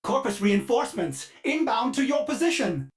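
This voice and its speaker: A man's voice: very high voice, sing-song, moderate pace. An actor playing a polite, fussy male ship AI in a video game who speaks of himself in the third person, heard through a glitchy robotic voice filter. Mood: helpful. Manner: sudden dark glitched outbursts.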